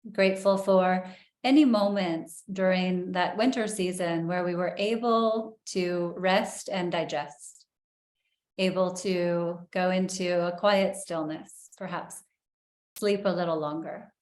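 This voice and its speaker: A woman leading a guided breathing meditation in a soft, soothing way, with long pauses. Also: on the low side, reverberant.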